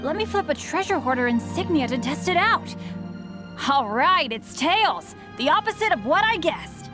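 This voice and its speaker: A woman playing an earnest, unlucky but upbeat teenage boy adventurer in an animated video game. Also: sits high, moderate pace, music in the background. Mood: optimistic. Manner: earnest, boyish.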